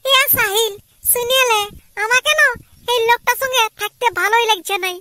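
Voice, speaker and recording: A scheming, scornful young wife in a homemade cartoon. Her filtered voice is extremely high and piercingly bright. She nags bluntly in a Purulia Bengali accent. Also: a little fast, dry recording. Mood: conspiratorial, discontented. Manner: blunt, nagging. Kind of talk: amateur cartoon dialogue.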